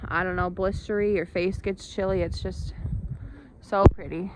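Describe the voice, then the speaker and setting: A woman's voice: lowish voice, steady tone, moderate pace. A young woman and mother, hosting a casual family vlog and coaxing her kids in a chatty, motherly way. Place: outdoors in a snowy yard at night. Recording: outdoor wind and snow noise.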